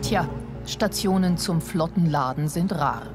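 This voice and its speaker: A woman's voice: low voice, measured pace. A woman with a standard German accent reads scripted television documentary narration. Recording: music behind the voice. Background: background music.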